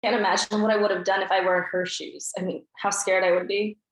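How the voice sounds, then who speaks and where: A woman's voice: medium pitch, little pitch movement, fast talker. A woman reading reflective voice-over narration at a table read. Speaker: narrator as the grown daughter. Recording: echoey room.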